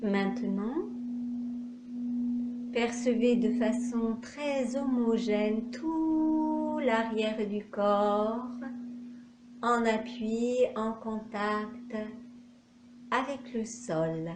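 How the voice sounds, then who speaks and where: A woman with a fairly high voice guides a relaxation meditation at an extremely slow pace. She gives short instructions separated by long pauses. The room is echoey. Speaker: yoga nidra instructor. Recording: echoey room, faint background noise.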